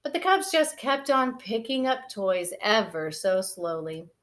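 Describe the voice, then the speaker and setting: A woman storyteller reading a children's picture book aloud in an expressive storytelling style. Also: medium pitch, a little slow.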